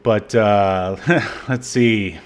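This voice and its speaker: A man hosting a podcast, chatting casually and softly about a movie, often trailing off into fillers. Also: on the low side, drawn-out words, slight background noise. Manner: soft-spoken, trails off into fillers. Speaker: podcast host. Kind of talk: casual chat reviewing a movie.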